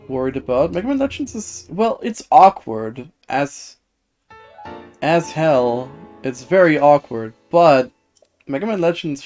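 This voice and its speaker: A man's voice: fairly high pitch, a little mellow. Male game streamer chatting over music. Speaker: video game live streamer. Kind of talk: casual chat while gaming, reading and answering viewer comments. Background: video game music.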